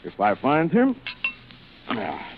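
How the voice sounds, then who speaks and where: An actor playing a suave, cruel aristocratic older general who hunts men, in urbane, theatrical 1940s radio thriller style with savored pauses. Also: male voice, mid-range voice, huge pitch swings, heavily acted. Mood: coolly menacing. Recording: muffled, noisy vintage radio recording.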